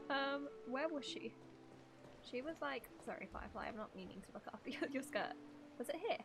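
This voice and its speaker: A young woman giving casual, giggly live reactions as she streams a game, rambling in an animated way. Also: high-pitched, normal speed. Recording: loud game music behind.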